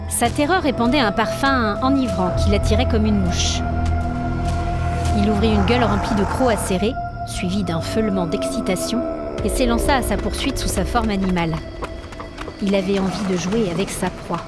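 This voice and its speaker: A woman's voice: medium pitch, normal speed. A woman, giving dramatic scripted narration of a supernatural story. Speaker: narrator and podcast host. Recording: music bed under narration. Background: music.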